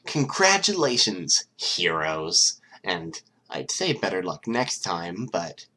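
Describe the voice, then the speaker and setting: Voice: low-pitched, sing-song, slow pace, pushed and bright, exaggerated voice. A reader voicing a young male villain strategist who taunts his audience with mock cheer and cold menace. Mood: mock cheerful. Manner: mock-cheerful, taunting, turning deadly serious.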